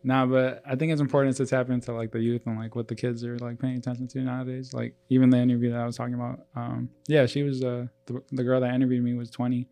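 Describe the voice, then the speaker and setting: A man's voice: medium pitch, level tone, fast, creaky, hardly any pauses, dark tone, clear, resonant tone. A man in his late twenties co-hosting a podcast in casual, informal chat. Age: 20s. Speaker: podcast co-host.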